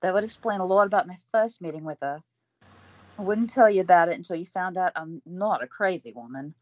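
A woman's voice: fairly low pitch, brisk, lo-fi sound, close to an everyday voice. A woman playing a flustered, earnest young woman in an audio drama.

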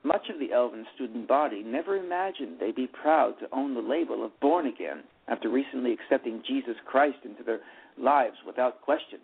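A man's voice: medium pitch, fairly quick, creaky, slightly rough. A man narrating a story, reading aloud and often stumbling over and repeating words. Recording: tinny phone line with faint music.

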